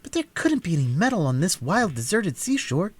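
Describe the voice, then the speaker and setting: A man's voice: sits high, very animated, a little fast, husky, dry recording, pitched above the performer's own voice, heavily acted. A man voicing an earnest, proper young country girl in a storybook reading, prim and insistent. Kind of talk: storybook character voice in audiobook reading.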